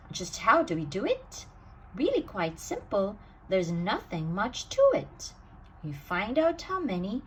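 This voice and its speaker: A woman reading a rhyming bedtime storybook aloud in an expressive, sing-song way. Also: medium pitch, a little hiss or hum, low-quality recording. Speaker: storybook reader.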